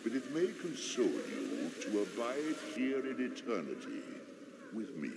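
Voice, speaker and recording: Ancient villainous deity, menacing, gravelly, lowish voice, cavernous reverb, gothic video game. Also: male voice, wildly swooping pitch, heavy background noise, heavily acted. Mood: imperious, gloating. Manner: slow, imperious, menacing. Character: ancient, domineering male god, coldly imperious. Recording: long echoing hall, background ambience.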